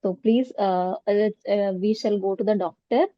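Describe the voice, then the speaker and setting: A woman's voice: medium pitch, normal speed, dry recording. A woman with an Indian accent who stammers, answering interview questions with many fillers and repeated sounds. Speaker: guest, coaching centre founder. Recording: dull lo-fi audio.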